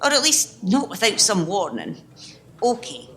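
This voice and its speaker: A woman acts out a down-to-earth woman in a radio play, blunt and full of questions. Her voice is bright and forceful, with very lively pitch. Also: measured pace, roomy sound. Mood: sceptical, bargaining. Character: down-to-earth, sceptical woman haggling over magic wishes.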